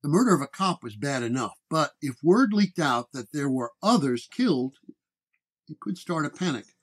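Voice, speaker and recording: A man's voice: fairly high pitch, animated, moderate pace, slightly rough. A man reading a scripted first-person detective story as its narrator. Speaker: narrator and reader.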